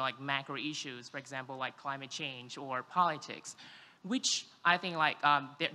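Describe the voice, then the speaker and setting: A young man from Vietnam with a Vietnamese accent gives an informal speech as a student, halting often and peppering it with fillers, in an echoing hall. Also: mid-range voice, pushed and bright. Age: young adult. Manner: halting, filler-heavy, frequent "like". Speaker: university student speaker. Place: large event hall, on stage.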